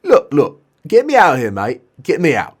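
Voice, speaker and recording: A man's voice: fairly high pitch, huge pitch swings, normal speed, slightly rough, voice pushed up, full character voice. A man voicing a stubborn, impatient young British working man who pleads and insists in a matey, repetitive way while a story is read aloud. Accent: mock British.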